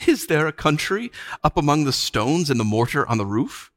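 A man voicing a sweet, soft-spoken, mocking witch queen for an audiobook, with courtly, archaic phrasing and a soothing manner. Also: a little high, sing-song, normal speed, dry recording, pitched above the performer's own voice. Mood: mocking curiosity. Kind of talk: audiobook character voice.

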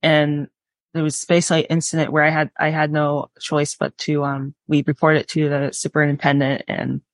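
Young woman in her twenties, candid and halting. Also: low-pitched, little pitch movement, no room sound. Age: 20s. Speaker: interview guest, artist. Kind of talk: candid personal storytelling.

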